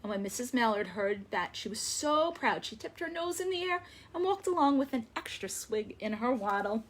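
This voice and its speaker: A woman reading a story aloud to young children as a librarian, expressive and emphatic, lingering on words. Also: on the high side, normal speed.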